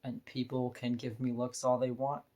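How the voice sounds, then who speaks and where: A woman with a deep voice, talking bluntly and frankly in a candid personal vlog monologue, laughing now and then between points. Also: flat delivery, moderate pace, warm tone, muffled recording. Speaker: vlogger.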